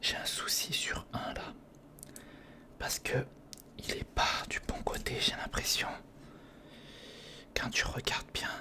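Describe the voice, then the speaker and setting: A man speaks in a breathy whisper close to the microphone, murmuring casual hands-on ASMR commentary in fragments, as if thinking aloud. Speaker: ASMR video creator. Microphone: close recorder microphone.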